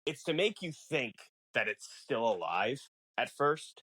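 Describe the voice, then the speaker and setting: A man's voice: mid-range voice, sing-song, unhurried, fairly bright tone, breathy edge, dry recording. Man co-hosting a podcast, dry close sound. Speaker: podcast co-host. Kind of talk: casual film discussion chat.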